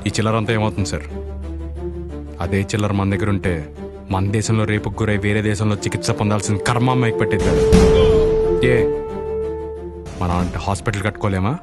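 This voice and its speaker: A man acts out a defiant hostage-taking everyman in a film drama. His voice is very deep and quick, with sardonic, righteous questioning. A loud score plays over him. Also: steady tone, over loud music, close to an everyday voice. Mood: indignant, challenging. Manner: measured, sarcastic, rhetorical questioning. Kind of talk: Telugu film dialogue, argumentative monologue.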